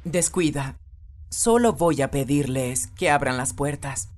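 Woman as slow, low-voiced gentle boy. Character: gentle, frail teenage boy, kind and trusting. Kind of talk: anime fandub acting.

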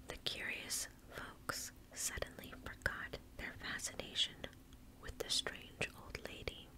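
A woman in her thirties gently whispering a story in a careful ASMR style, close to the microphone. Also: poor audio. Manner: slow, careful, unhurried delivery. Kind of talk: ASMR storytime, whispered reading of a spooky tale.

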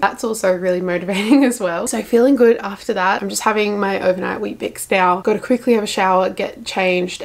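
A young Australian woman talks straight into a camera held at arm's length in a small room at home. Her voice sits at an ordinary middle pitch with plenty of vocal fry. She rambles in a relaxed, chatty way, full of little fillers. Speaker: vlogger. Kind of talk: casual vlog chat to camera.

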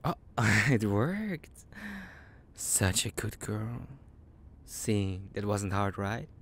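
A man's voice: fairly low pitch, expressive, extremely slow, heavily acted. A man playing a psychotic, possessive young kidnapper in an intimate audio roleplay, cooing menacingly in half whispers with creepy giggles. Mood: doting, possessive.

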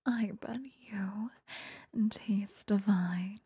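A woman plays an obsessive vampire stalker in a whispered ASMR roleplay. Her voice is very breathy and soft, with a mellow tone. She lingers slowly over her words, seductive and possessive. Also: mid-range voice, steady tone, dry recording, studio quality, exaggerated voice. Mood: teasing, possessive. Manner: slow, intimate, lingering delivery. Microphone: close microphone.

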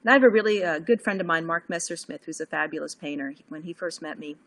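A woman's voice: low-pitched, fast. Middle-aged woman chatting on a video call. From Cuba. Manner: animated, thinking aloud with many fillers and restarts. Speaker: painter. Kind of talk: casual interview talk. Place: home studio indoors. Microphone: laptop webcam video call.

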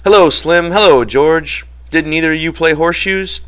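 A man's voice: on the high side, moderate pace, a bit bright, pitched above the performer's own voice, lightly acted. A man voicing an old, ailing ranch swamper in a storybook reading, complaining in folksy, ungrammatical talk.

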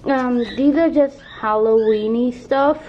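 A woman's voice: a little high, a little slow. Congested woman rambling through a craft vlog. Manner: rambling, lots of ums and self-corrections. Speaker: craft channel host. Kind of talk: casual show-and-tell narration. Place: home workshop, off camera. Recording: dull lo-fi sound, faint noise.

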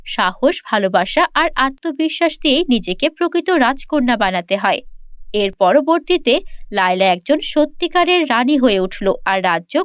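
A woman with a very high voice narrates a children's fairy tale as a storyteller. The delivery is scripted. The sound is close and dry, free of room echo. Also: clean tone.

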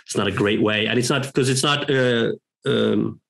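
A man, a guest talking reflectively on a video call from a home room, pausing and restarting often as he thinks aloud. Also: medium pitch, gravelly, a bit bright. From Norway. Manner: hesitant, thoughtful, many pauses and restarts. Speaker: guest, professor. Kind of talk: reflective interview talk. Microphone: headphones on a video call.

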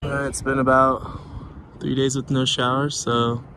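A man's voice: medium pitch, flat delivery, normal speed. A young man casually vlogging a road trip, laid-back and filler-heavy, from inside a truck and at campsites. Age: young adult. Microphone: phone camera at arm's length. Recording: loud road and outdoor noise.

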